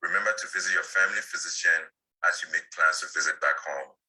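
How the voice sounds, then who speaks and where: Deep, forceful man reading an ad. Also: low-quality recording. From Ghana. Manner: measured, deliberate reading. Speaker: family physician. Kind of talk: scripted radio-style commercial.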